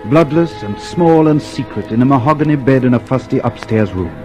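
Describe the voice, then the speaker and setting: Actor as ominous dystopian narrator, music underneath. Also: male voice, medium pitch, lively intonation, a little mellow, close to an everyday voice. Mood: grave. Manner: measured, emphatic, ominous. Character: grave, pitiless narrator and inner voice of a dystopia. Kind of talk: dramatic radio narration.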